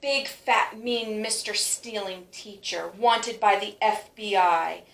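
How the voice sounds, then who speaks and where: A woman plays a dramatic little schoolgirl from a picture book, sulky one day and sorry the next. The voice is bright and emphatic, with words drawn out, in a roomy echo. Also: mid-range voice, slow. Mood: angry, defiant. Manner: emphatic, repeats and stretches words. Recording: roomy, echoing sound.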